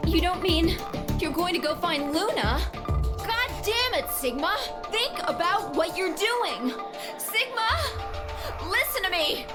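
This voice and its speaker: A woman's voice: very high voice, animated, slow pace, loud music behind the voice, heavily acted. An actress playing an urgent, headstrong young woman in a visual novel game. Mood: angry, pleading. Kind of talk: dubbed visual novel voice acting.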